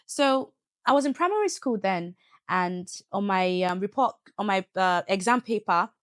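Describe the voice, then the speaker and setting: Young British-Nigerian woman, podcast guest, expressive and chatty, dry close studio sound. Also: medium pitch, normal speed, no room sound. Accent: British with Nigerian touch. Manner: animated storyteller, laughs often, code-switches. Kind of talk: casual testimony interview.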